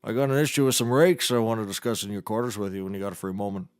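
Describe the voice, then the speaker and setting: A man's voice: on the low side, very fast, clear, resonant tone, no echo, clean studio sound, natural voice. An actor playing a blustering, folksy nautical handyman turned defense lawyer, orating grandly in a comedy courtroom. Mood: indignant. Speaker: defense attorney. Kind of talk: scripted comedy courtroom oratory.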